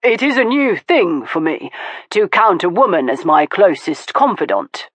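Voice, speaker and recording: A woman voicing an earnest, confident young aristocratic man who speaks in a measured, formal way, in an audiobook. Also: fairly low pitch, sing-song, dry recording. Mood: sincere. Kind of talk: audiobook dialogue.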